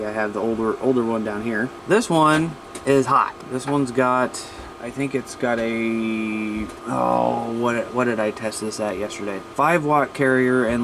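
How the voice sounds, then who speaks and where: American man, casual vlog with background hum. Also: medium pitch. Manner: colloquial, informal, thinking aloud. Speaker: hobbyist video host showing radios. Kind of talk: casual unscripted show-and-tell vlog.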